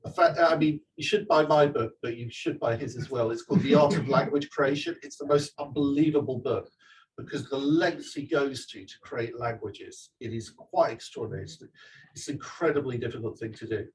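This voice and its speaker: A man's voice: mid-range voice, a little fast, slight background noise. Distant-miked man chatting about his book. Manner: chatty, digressive, many restarts and fillers. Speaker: author giving a talk. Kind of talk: live talk and audience Q&A. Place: event venue with audience.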